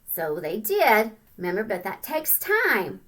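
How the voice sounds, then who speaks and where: A woman reading a picture book aloud to children, expressive and singsong, with chatty asides and questions to the listener. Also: medium pitch, measured pace. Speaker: read-aloud storyteller.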